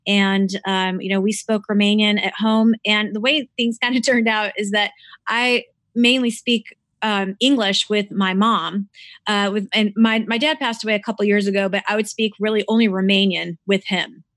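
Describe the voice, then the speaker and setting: A woman's voice: medium pitch, steady tone, moderate pace, a bit bright, no room sound. An American woman from Ohio who works as a theological librarian, speaking hesitantly with many ums in an interview. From Cleveland, Ohio, USA. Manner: hesitant, many ums and restarts, laughs easily. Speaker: guest, theological librarian and faculty member. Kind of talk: casual interview conversation.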